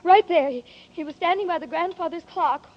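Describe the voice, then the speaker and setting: A frightened pleading sweetheart. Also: female voice, very high-pitched, a little fast. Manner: pleading, fearful. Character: frightened young woman in love. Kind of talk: 1940s radio acting. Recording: dull lo-fi 1940s radio broadcast.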